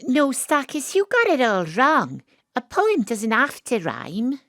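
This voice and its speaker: Lyrical young Welsh daughter, dry sound. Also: female voice, high-pitched, huge pitch swings, slow, fairly bright tone, dry recording, exaggerated voice. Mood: earnest, correcting. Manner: lyrical, wordplay-loving. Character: young bookish Welsh daughter, amateur private investigator, poetic narrator. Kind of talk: audio drama with lyrical narration.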